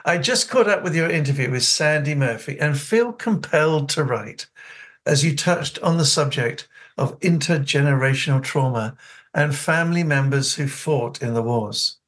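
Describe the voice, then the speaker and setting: A man's voice: a little high, fairly bright tone. An older man from New Zealand with an elocution-trained English accent, hosting a radio talk show and reading listener letters, chatty and often laughing at himself. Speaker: radio host.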